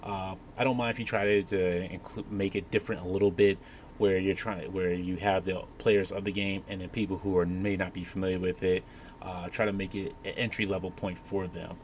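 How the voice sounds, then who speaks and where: A man on a panel giving casual opinions in halting, piecemeal phrases. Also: sits low, flat delivery, darkish tone. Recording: faint background noise.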